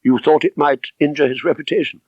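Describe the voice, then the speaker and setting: A man voicing an inquisitive gentleman baronet in an audiobook, direct and questioning, puzzled yet eager. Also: fairly high pitch, fairly even intonation, fairly quick, no room sound, dull audio, voice pushed up. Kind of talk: audiobook character dialogue.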